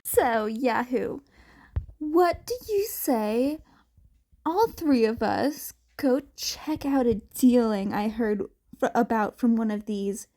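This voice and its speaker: A woman's voice: a little high, lively intonation, slow pace, dark tone. Woman acting a brash, teasing young hero woman. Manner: cocky, informal, blunt.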